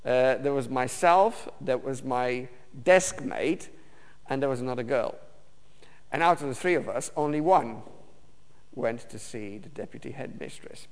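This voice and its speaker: Man preaching in a reverberant hall. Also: medium pitch. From Romania. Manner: halting, many fillers and restarts. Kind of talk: personal testimony sermon.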